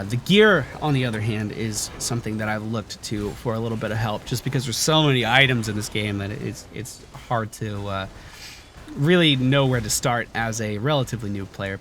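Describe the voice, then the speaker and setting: A man hosting a gaming channel, explaining a character build over gameplay in a relaxed way with lots of uh and um. His voice is still stuffy as he recovers from losing it. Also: medium pitch, dry recording. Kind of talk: gameplay commentary over footage.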